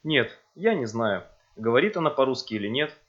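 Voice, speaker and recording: A man with a husky, breathy voice reads scripted textbook dialogues for a language course. He speaks slowly and carefully. The recording sounds dull and lo-fi. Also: medium pitch, sing-song. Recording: dull lo-fi audio.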